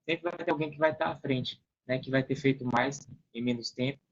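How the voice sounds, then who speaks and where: A man's voice: medium pitch, fairly quick, dull audio, a little hiss or hum. A man with a Brazilian accent explaining things casually as a podcast guest, acting out hypothetical quotes as he goes.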